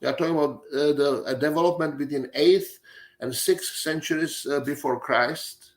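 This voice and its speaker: A man in his seventies with a Czech accent, a native Czech speaker giving an academic lecture, pausing often on fillers and restarting phrases. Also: on the high side. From Czech Republic. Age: 70s. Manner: halting, many fillers and restarts.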